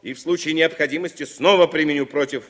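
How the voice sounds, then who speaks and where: High-voiced defiant war veteran. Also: male voice, steady tone, a bit bright. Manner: measured, firm, oratorical. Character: defiant 37-year-old American war veteran, principled communist suspect. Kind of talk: 1960s Soviet radio drama acting.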